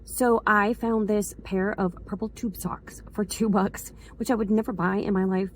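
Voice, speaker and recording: A woman with a hoarse voice from a cold, chatting in a casual, rambling vlog as she circles back to restate things. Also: medium pitch, steady tone, normal speed, slight background noise. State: hoarse from a cold, slight sore throat. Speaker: vlogger, school staff member.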